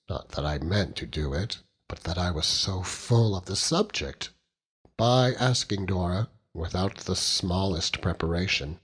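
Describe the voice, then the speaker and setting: A man reads literary fiction as a first-person narrator in a low, slightly husky voice. His delivery is very deliberate and theatrical, with dry wit and a lively rise and fall. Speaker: audiobook narrator.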